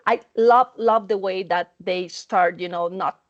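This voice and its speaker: A woman's voice: medium pitch, a little slow, dull audio. A woman author answering interview questions, hesitant and repeating words as she finds them. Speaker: author guest.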